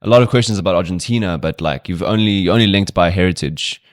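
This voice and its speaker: A man from South Africa hosts a podcast in a casual, encouraging way. His voice is very low. The recording is dry, with no room sound. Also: fast, no echo. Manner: easygoing, encouraging, lots of agreeing murmurs. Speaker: podcast host.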